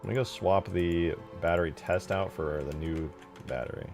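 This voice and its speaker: A man's voice: low-pitched, steady tone, normal speed, a little mellow. A man chatting informally on a gaming livestream, thinking aloud as he works. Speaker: game modder and streamer. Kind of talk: casual livestream chat.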